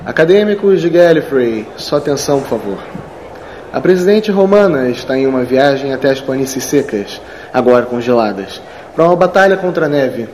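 Man acting a lively, formal academy official. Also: mid-range voice, fairly quick, close to an everyday voice, poor audio. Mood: formal, reassuring. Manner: formal, announcing. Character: formal, skeptical male academy official addressing students. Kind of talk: audio drama acting. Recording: clear background noise and ambience.

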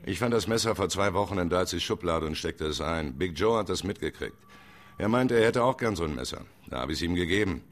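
A man's voice: deep voice, expressive, a little fast. An actor playing a shaken suspect who tells his side to police plainly and defensively.

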